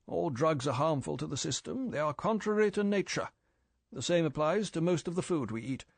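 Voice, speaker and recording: A man's voice: on the high side, no room sound, voice pushed up. M, a man voicing a stern old spy chief. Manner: clipped, scolding, emphatic. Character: stern older male spy chief, severe and lecturing.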